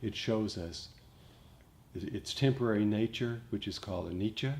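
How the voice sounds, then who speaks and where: A man with a fairly low, mellow voice teaches meditation informally to a group. He is reflective and halting, circling back to rephrase his points. There is some noise in the recording. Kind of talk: informal dharma talk to a group. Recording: noticeable background noise.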